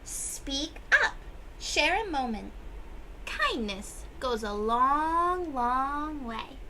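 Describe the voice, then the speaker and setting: A woman reading a picture book aloud to young children, with expressive emphasis and questions to her listeners. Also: sits high, slight background noise, low-quality recording. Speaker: children's read-aloud host.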